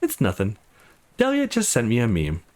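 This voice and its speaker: Man reading as a wry young woman, very animated. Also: mid-range voice, moderate pace. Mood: teasing. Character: teasing, wry young woman, casual and defiant. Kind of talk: visual novel dialogue read aloud.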